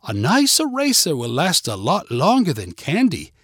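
A man's voice: fairly high pitch, huge pitch swings, moderate pace, husky, no echo, clean studio sound, pitched above the performer's own voice, full character voice. A man voicing an earnest young woman who talks like a prim British schoolteacher in a storybook. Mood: prim, lecturing. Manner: prim, lecturing, sweet.

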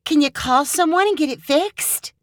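A woman voicing an outspoken, caring young woman who speaks brightly and emphatically. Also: high voice, moderate pace, dry recording, clean studio sound, voice pushed up, close to an everyday voice. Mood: alarmed.

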